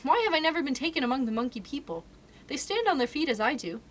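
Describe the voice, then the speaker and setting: A woman voicing a sulky, boastful young boy raised in the jungle, whiny and excitable. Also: high voice, fast, a little hiss or hum, voice pushed up. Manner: whiny and excitable, shouting at times.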